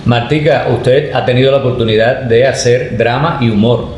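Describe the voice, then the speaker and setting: A man's voice: mid-range voice, fairly even intonation, normal speed, a little mellow, highs cut off. A man asking polite, formal questions as an interviewer. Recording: roomy, echoey, faint noise.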